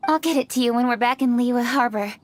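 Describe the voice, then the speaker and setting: A woman's voice: a little high, quick pace, a little hiss or hum, natural voice. A woman voicing a composed, formal young noblewoman. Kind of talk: video game voice acting.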